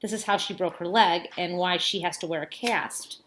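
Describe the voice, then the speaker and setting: Bright-voiced woman reading a children's book aloud. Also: lowish voice, moderate pace, a little hiss or hum. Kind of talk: read-aloud storytelling with casual asides.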